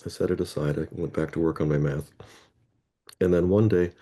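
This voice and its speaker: Male interview guest, middle-aged, from Toronto; rumbling bass voice, dark tone, chatty and digressive. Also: steady tone, brisk. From Canada (Toronto). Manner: rambling, anecdotal, often rephrasing mid-sentence. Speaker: guest, head of a Scrabble players association. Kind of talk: casual interview storytelling.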